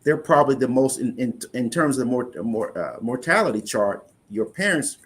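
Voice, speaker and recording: A man's voice: medium pitch, animated, normal speed. Male interview guest, mid-range voice, earnest and explanatory, restarts phrases. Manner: earnest, explanatory, repeats words when restarting. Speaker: insurance investment guest.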